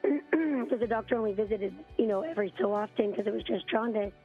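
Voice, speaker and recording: A hoarse mother in her thirties calling in to a radio show, telling her story haltingly with many ums and throat-clearing. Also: mid-range voice, faint music behind. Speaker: parent on a radio call. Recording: tinny phone line.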